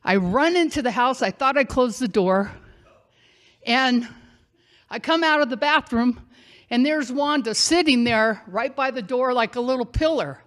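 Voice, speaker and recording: A woman with a loud, projecting voice telling a personal story on a theater stage, animated and conversational. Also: high voice, measured pace. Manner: animated, conversational, acts out shouting and quotes.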